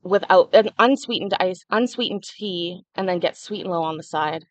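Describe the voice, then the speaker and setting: A woman's voice: on the low side, normal speed, dry recording. A young woman hosting a casual livestream, chatting freely and answering viewers' comments as they come in. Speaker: livestream host.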